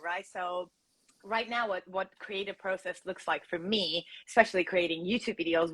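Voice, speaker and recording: A woman's voice: fairly low pitch, a bit bright, poor audio. A woman from Turkey with a Turkish accent, a content creator and coach chatting on a video call, laughing often. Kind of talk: casual interview chat.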